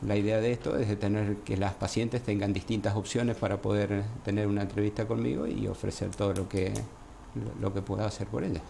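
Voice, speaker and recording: A man with an Argentine accent, a gynecologist guest on a radio interview by phone, speaking in a measured way with many fillers. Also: lowish voice, little pitch movement, gravelly, dark tone, a little hiss or hum. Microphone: phone line.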